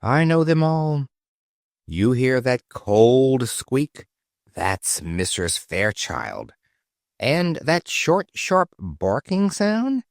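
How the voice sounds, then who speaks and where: A man's voice: mid-range voice, wildly swooping pitch, extremely slow, no echo, clean studio sound, pitched above the performer's own voice, full character voice. A man reading aloud, voicing a young princess who speaks with sighing, confiding warnings. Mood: wary confiding. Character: young princess, weary and knowing.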